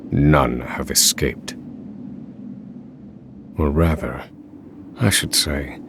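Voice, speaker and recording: A grim, vengeful scholar from a video game, with an extremely deep voice. He speaks slowly and solemnly, weighing each phrase. Clear ambient noise sits behind him. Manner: slow, deliberate, solemn. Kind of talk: dramatic game narration and dialogue.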